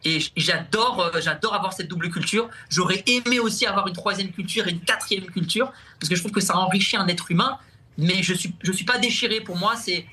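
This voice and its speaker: A young man with a high and very bright, forceful voice talks in a TV studio. He chats casually as a comedian guest, often stumbling and repeating words before he finds them. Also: brisk. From France. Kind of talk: casual talk-show chat.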